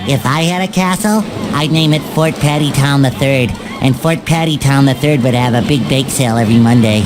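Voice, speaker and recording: A man's voice: medium pitch, fairly quick, close to an everyday voice, rough recording. A man voicing a naive, eager, childlike sea sponge in a cartoon game, earnest and easily sidetracked. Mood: cheerful. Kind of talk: animated cartoon game acting. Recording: music behind the voice.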